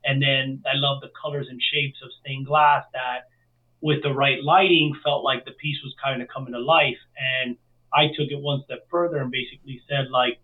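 A man's voice: mid-range voice, fairly even intonation, normal speed. Man on a distant, phone-like call. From Miami, United States. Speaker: interview guest, artist. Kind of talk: casual chat, telling own story.

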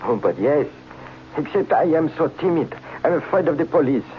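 A man's voice: fairly high pitch, animated, a little hiss or hum, natural voice. A man playing a timid, fussy phony count. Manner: halting, overly formal, self-pitying. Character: timid phony male count inventor, foreigner. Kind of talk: 1940s radio acting. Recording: muffled old radio recording with music.

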